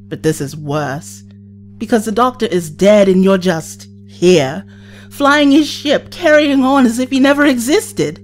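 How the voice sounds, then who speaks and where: A woman's voice: mid-range voice, a little mellow, close to an everyday voice. A woman playing a time traveller's hurt young companion in an audio drama, earnest and reproachful. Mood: grieving, bitter.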